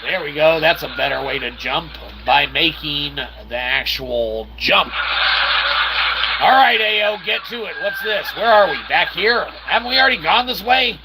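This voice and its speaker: Guy playing a game n commentating, super gravelly creaky voice, kinda cocky, noisy recording. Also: fairly high pitch, lively intonation, a little slow. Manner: playfully cocky, talks in "we". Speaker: gameplay host. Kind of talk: casual gaming commentary.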